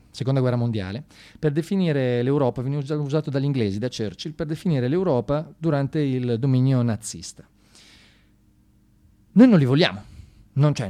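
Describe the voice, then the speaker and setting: Male stage monologist, mid-pitched, animated and sardonic, conversational storytelling. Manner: animated, swinging from shouted exclamations to hushed asides; sardonic, colloquial. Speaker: theatre monologist. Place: live theatre performance.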